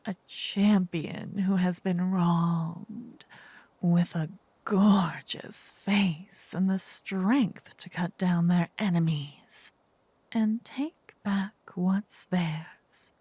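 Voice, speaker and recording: A woman's voice: fairly low pitch, warm tone, no echo, exaggerated voice. A woman voicing a seductive, possessive demon queen in an intimate fantasy ASMR roleplay, often whispering, with teasing, smug menace. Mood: doting, pleased. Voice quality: breathy, husky, often whispering. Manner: slow, teasing, doting menace. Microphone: close, dry microphone.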